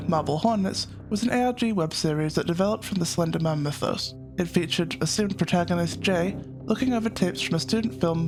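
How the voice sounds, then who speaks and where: A man narrating a scripted analytical video essay as its host, in a measured, explanatory manner. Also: high-pitched, creaky.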